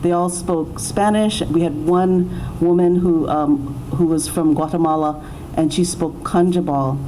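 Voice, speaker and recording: A woman's voice: fairly low pitch, fairly even intonation, warm tone, slight background noise, rough recording. A middle-aged woman giving an informal, unscripted talk at a public forum, often restarting and correcting herself as she goes. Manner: halting, self-correcting, with many repeated starts. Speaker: panelist, attorney speaking at a public forum.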